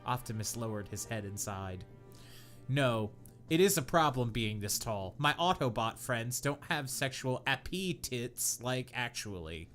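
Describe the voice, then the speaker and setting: A man co-hosting a comedy podcast, reading bad fanfiction aloud in a deadpan voice that keeps cracking into laughter. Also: medium pitch, measured pace, soft background music. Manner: reads deadpan, breaks into laughter.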